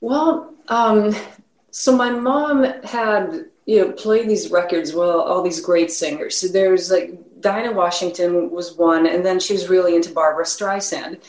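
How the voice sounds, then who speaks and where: A woman's voice: fairly low pitch, fairly even intonation, a little slow, echoey room, rough recording. A woman who grew up in Sweden, with a slight Swedish accent, talking casually as a musician guest in an interview and rambling with many fillers.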